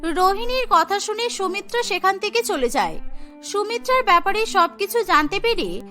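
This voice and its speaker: A woman's voice: very high voice, very animated, moderate pace, pushed and bright, soft background music. A woman reading scripted narration for a cartoon story.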